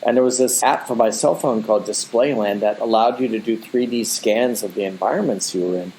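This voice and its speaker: A man's voice: fairly low pitch, brisk, darkish tone, a little hiss or hum, rough recording. A man with an American accent, a guest artist talking at length in a casual interview, full of restarts and "you know".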